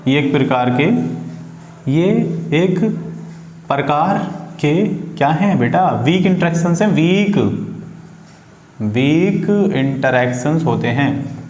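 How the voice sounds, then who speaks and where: A man's voice: a little high, animated, takes it slowly, long pauses, darkish tone. A man with an Indian accent teaching informally at a board as a science teacher, repeating key phrases and quizzing his listeners with questions. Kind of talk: informal board lecture for students. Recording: echoey room with faint background noise.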